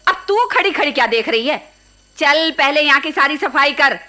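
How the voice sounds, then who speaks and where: A high, piercing middle-aged aunt in a radio drama. She runs the household with sharp orders and constant nagging, bossy and scolding in her manner. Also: expressive, moderate pace. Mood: angry scolding. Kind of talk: Hindi radio play acting.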